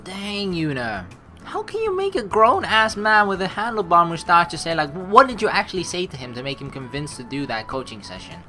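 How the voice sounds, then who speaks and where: A woman with a low voice hosts a let's play, talking in a chatty, slangy way. Her pitch swings in a lively manner as she comments and reads story text. Faint music plays behind her. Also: brisk. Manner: chatty, slangy, expressive reactions. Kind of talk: gaming commentary, reading story text aloud.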